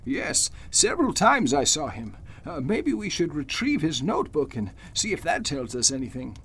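A man playing a wise, experienced and watchful judge with a husky voice, in an old-style radio drama. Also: fairly high pitch, huge pitch swings, moderate pace, a bit bright, slight background noise, exaggerated voice. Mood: anxious. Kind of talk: golden age radio drama acting.

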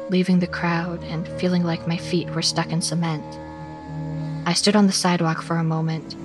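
A woman performs as an anxious suburban storyteller with a fairly low voice. She narrates a scripted horror tale in a measured, dramatic way, with music clearly behind her. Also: natural voice. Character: anxious suburban woman recounting a frightening Christmas night. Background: background music.

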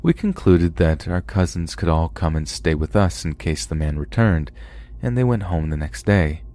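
A man hosting a podcast, calmly reading submitted scary stories aloud in a measured first-person narration. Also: low-pitched. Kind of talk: scripted horror story narration.

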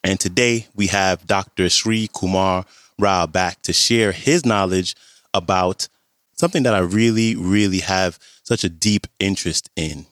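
A hoarse man hosting a podcast, with a very low voice. He chats in a relaxed way as he introduces the show. The recording is dry and close. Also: unhurried, no echo. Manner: relaxed, chatty, friendly greetings. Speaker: podcast host.

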